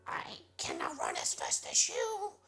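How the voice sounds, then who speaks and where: A man voicing a timid, lonely frog in a storybook, with a scratchy, breathy croak and a halting, plaintive manner. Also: extremely low pitch, huge pitch swings, music in the background, voice pushed down, heavily acted. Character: timid, lonely icky male frog, earnestly bargaining. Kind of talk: storybook character voice.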